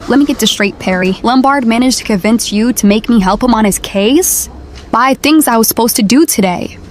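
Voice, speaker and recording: A woman playing a brisk, capable newspaper reporter in an audio drama, with a dry, matter-of-fact manner. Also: on the high side, a little hiss or hum, close to an everyday voice. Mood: indignant.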